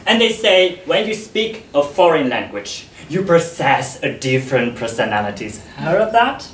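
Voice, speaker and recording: A man giving a slow, deliberate public talk to an audience, pausing often, his first language Vietnamese. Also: a little high, big pitch swings, a bit bright, slight background noise. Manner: slow and deliberate, with frequent pauses. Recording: roomy hall, voice from far off.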